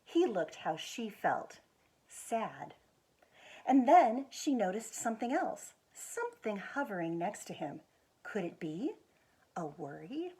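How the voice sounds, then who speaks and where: An American woman reads a picture book aloud to children. Her voice sits at an ordinary pitch for a woman and has a husky, slightly rough edge. She is expressive and leans on key words. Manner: expressive, with drawn-out emphasis and quiet asides to show pictures. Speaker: executive director of a schools foundation, guest reader.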